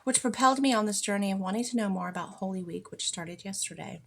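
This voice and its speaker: An American woman with a noticeably creaky, mid-pitched voice. She talks informally and earnestly, like a podcast host thinking aloud about faith, and she puts emphasis on the words that matter to her. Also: fast. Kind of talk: informal reflective spiritual monologue.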